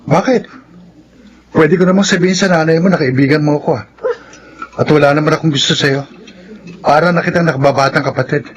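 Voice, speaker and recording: A man's voice: a little high, fairly quick, long pauses, natural voice. A young man with a Filipino accent playing a rebellious, stubborn son in a radio drama, defiant and brash. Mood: casual, pleading. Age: young adult. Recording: noisy radio drama track.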